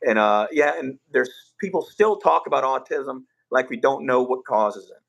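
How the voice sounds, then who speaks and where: A man hosting a casual livestream, rambling with fillers and restarts in a rough voice. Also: mid-range voice, moderate pace, highs cut off. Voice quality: rough, gravelly voice. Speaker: livestream host.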